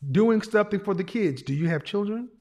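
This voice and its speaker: A blunt man hosts a call-in show, cutting in and repeating himself. His big voice sits a little high for a man. He talks over callers with loud, insistent phrases. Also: lively intonation, a little fast. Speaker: show host. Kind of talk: live call-in show talk.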